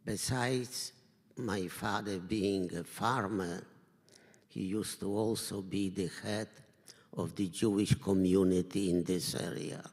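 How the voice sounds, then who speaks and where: An older man from Poland, giving a survivor's testimony in slow, measured, halting phrases. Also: sits low, fairly even intonation, a little mellow. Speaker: Holocaust survivor speaker. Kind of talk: personal testimony talk. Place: synagogue hall. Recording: reverberant hall.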